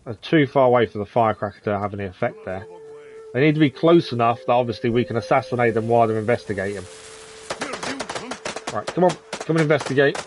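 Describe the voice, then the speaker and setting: Streamer in his 30s, mid-pitched, chatty, mutters at enemies while playing. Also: fast talker, slight background noise. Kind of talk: live gameplay commentary.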